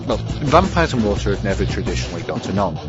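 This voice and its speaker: A man with a low voice reads scripted commentary in a wry, bookish way. Music plays clearly behind him, and the sound is slightly dull. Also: sing-song, fast talker. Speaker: guest segment presenter. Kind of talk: scripted commentary on vampire lore.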